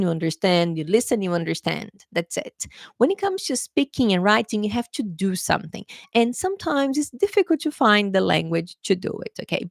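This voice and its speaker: A woman's voice: medium pitch, vocal fry, no echo, studio quality. A young adult woman from Brazil with a Brazilian Portuguese accent, an English teacher chatting through a live-streamed exam prep lesson, repeating key points and calling viewers "guys.".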